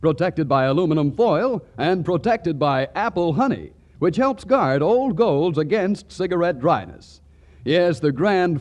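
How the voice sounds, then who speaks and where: A man's voice: high voice, darkish tone, lo-fi sound. A man working as a radio announcer, delivering a scripted 1940s commercial with polished, emphatic salesmanship.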